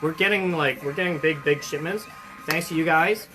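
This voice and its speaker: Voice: mid-range voice, moderate pace, over music, low-quality recording. A young adult hosting a hobby shop livestream in a casual, upbeat way, chatting with viewers, greeting them by name and reading out their comments, with lots of fillers and restarts. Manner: friendly and effusive toward viewers.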